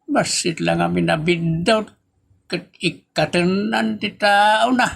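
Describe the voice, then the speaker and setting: A man's voice: high voice, fairly even intonation, takes it slowly, gravelly, fairly bright tone, exaggerated voice. A man playing an elderly, complaining working father with an Ilocano accent in a comedy drama.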